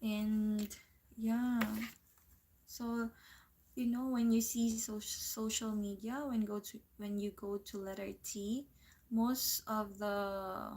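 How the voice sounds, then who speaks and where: A woman with a Filipino accent, chatting casually on her livestream and pausing often to find her words. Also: mid-range voice, little pitch movement, darkish tone, a little hiss or hum. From Philippines. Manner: unhurried, searching for words, reads viewer comments aloud. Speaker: livestream host and part-time English teacher.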